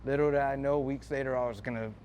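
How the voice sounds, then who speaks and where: A man's voice: mid-range voice, steady tone, fast talker, a little mellow. A man, a fire lieutenant, recounting an experience in an interview.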